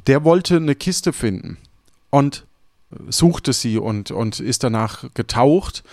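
Male podcast host, medium pitch, very dry recording, reflective conversational delivery with self-corrections. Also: animated, takes it slowly, darkish tone, no room sound.

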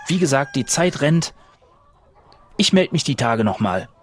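A man's voice: a little high, sing-song, a little slow, a little hiss or hum. A man playing a tabletop roleplaying game, improvising conversationally in character.

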